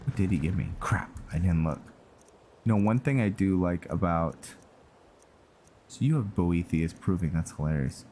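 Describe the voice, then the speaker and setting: A man's voice: low-pitched, normal speed, mellow, a little hiss or hum. A man giving casual, musing commentary over his roleplay playthrough, rambling and talking directly to his viewers. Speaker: gaming channel host. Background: game music.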